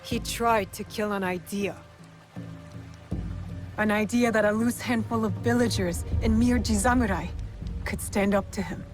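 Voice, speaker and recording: A woman's voice: medium pitch, fairly even intonation, measured pace, pauses often, over music, close to an everyday voice. An actress playing a resolute, principled young female shinobi in a video game, firm and serious. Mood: serious, determined. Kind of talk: video game cutscene acting.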